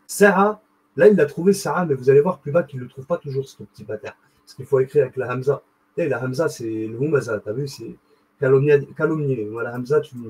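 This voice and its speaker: A young man hosting his own video, talking straight to the viewer in a loose, slangy ramble that keeps jumping up with excitement. His voice sits in a middle pitch with a slightly husky, breathy edge. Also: low-quality recording. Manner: rambling, animated, slangy, addresses viewer directly. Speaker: video host. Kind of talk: casual explanatory monologue.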